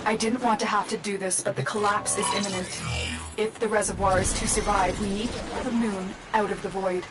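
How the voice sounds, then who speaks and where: A woman as an earnest guiding commander over loud music. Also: medium pitch, steady tone, fairly quick, close to an everyday voice. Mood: earnest urgency. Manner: composed, earnest, instructive. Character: calm, guiding female commander, protective and urgent. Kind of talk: video game mission guidance. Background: loud game music.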